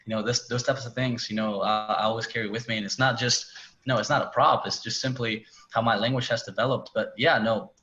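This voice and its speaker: A man from Spain with a Spanish accent, talking as a panelist in a town hall discussion, halting and restarting often. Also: mid-range voice, steady tone, bright, forceful tone. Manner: halting, many restarts and "you know". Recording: dull lo-fi call audio.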